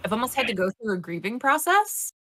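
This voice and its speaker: A woman speaking on a casual panel discussion, earnest and measured, pausing with "uh"s. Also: fairly high pitch, very animated, pushed and bright, a little hiss or hum.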